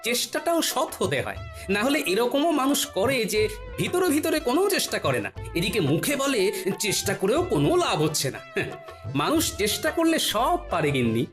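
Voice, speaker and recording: An actor voicing a clever, calm male court jester in a cartoon, reasoning gently and teasingly. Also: mid-range voice, fairly quick, faint music behind. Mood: earnest.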